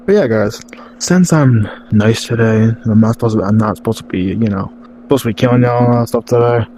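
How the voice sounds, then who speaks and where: A man playing a gruff, chain-smoking off-duty villain with a deep, rough smoker's voice, rambling and swearing casually in a game roleplay. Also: brisk, mellow, slight background noise, lightly acted. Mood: laid-back.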